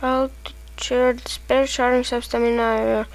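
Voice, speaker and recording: A woman from Lithuania with a Lithuanian accent, casually commenting while she plays a video game and reacting excitedly to it. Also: fairly high pitch, takes it slowly, dry recording, rough recording. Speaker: let's play gamer.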